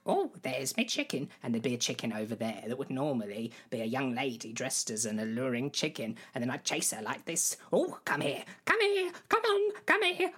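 A woman playing William Shakespeare as a silly, boastful playwright in a comedy sketch, rambling and emphatic with a husky voice. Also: very deep voice, a bit bright, exaggerated voice. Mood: gleeful, playful. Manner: rambling, emphatic, swooping exclamations.

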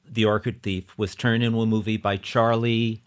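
A man conducting a casual interview in a wry, joking, deadpan manner. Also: fairly low pitch, moderate pace.

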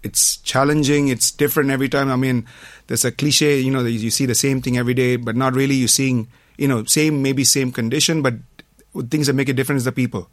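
Middle-aged man, from Malaysia, doctor guest, hesitant, modest, medium pitch, dry close sound. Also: fairly even intonation, quick pace, no room sound. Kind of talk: casual radio interview.

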